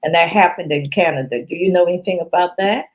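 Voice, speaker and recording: A woman hosting an interview, asking questions in a halting way with many restarts. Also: fairly low pitch. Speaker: interview host.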